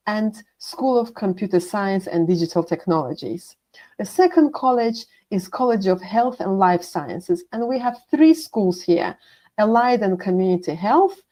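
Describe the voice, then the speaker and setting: A woman's voice: mid-range voice, warm tone. A woman international manager giving a scripted training presentation, steadily listing schools and colleges.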